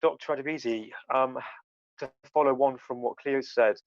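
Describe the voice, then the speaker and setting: A man hosts a panel discussion in a mid-pitched voice. He phrases things carefully, with hesitant pauses. The sound is dry and a little dull. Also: no room sound. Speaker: panel host.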